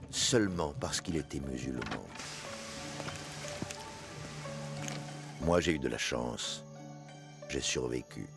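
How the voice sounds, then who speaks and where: An actor playing a devout, solemn companion of the Prophet, telling of hardship in grave, measured animated-documentary narration. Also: male voice, extremely low pitch, creaky, exaggerated voice. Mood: pained, sombre. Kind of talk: dramatized first-person narration for an animated documentary.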